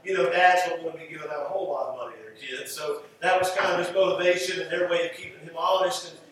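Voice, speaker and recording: High-voiced male preacher in an echoey room. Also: fairly even intonation, fast, a bit bright. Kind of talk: conversational sermon, Bible teaching. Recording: roomy echo, distant mic, faint noise.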